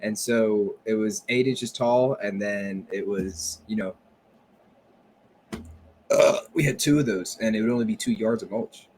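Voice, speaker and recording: A man's voice: lowish voice, unhurried, darkish tone, a little hiss or hum. A man co-hosting a casual podcast from home, joking often, laughing easily and swearing freely. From United States. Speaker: podcast co-host. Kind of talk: casual chat. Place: home, remote recording. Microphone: home podcast mic.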